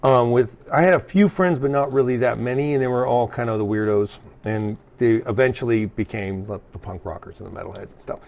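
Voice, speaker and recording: A man with an American accent chatting casually as a guest, sweary and halting as he corrects himself. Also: medium pitch, vocal fry, darkish tone. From California, US. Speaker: guest, punk drummer. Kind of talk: casual chat, telling own story.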